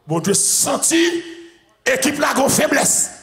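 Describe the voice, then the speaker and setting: Haitian pastor in his forties, bright forceful delivery, slow and chanting, handheld mic in a church hall. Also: extremely high pitch, big pitch swings. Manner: shouting, chanting repetitive preaching. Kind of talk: sermon. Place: large church sanctuary with congregation. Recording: echoey church hall, organ music.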